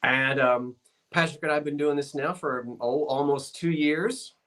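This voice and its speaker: A man's voice: on the high side, fairly even intonation, normal speed, a bit bright. Folksy man hosting a live stream sale. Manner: folksy, homespun exclamations. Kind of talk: casual live-stream chat.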